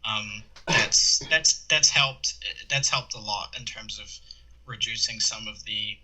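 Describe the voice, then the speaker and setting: A man's voice: lowish voice, slow pace, sharp tone, poor audio. A young man from New Zealand with a New Zealand accent, chatting as a podcast guest, rambling with lots of fillers and restarts. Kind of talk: casual chat.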